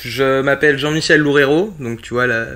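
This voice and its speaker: A man in his early thirties from western France chats casually as a guest. His voice is bright and forward at a middling pitch. He often doubles back on his words as he finds his phrasing. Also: normal speed. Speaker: podcast guest, streamer. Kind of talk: casual chat.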